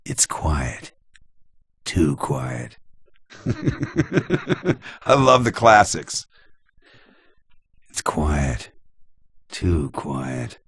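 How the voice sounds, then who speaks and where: A man's voice: very deep voice, sing-song, drawn-out words, long pauses, a bit bright, breathy edge, dry recording. A man, a voice actor, joking playfully and theatrically between takes, in noisy compressed audio. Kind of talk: joking studio out-take chat.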